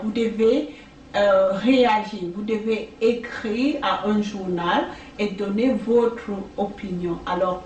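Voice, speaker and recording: A French-speaking woman tutoring for language exams in a casual video, talking slowly with many hesitations and fillers. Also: medium pitch, a little mellow. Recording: echoey room, distant mic, faint noise.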